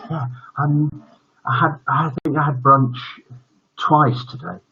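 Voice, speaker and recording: A man's voice: fairly high pitch, steady tone, takes it slowly, breathy edge. An older man hosts a casual livestream for children, chatting to viewers in a jokey, rambling way that often trails off. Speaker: host of a children's bedtime story livestream. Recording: dull lo-fi livestream audio.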